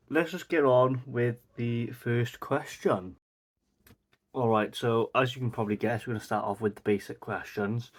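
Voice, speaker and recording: Rambling young Welsh man. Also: lowish voice. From South Wales. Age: 20s. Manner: rambling, lots of fillers and asides. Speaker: vlogger. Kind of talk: rambling casual Q&A vlog. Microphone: mic facing camera.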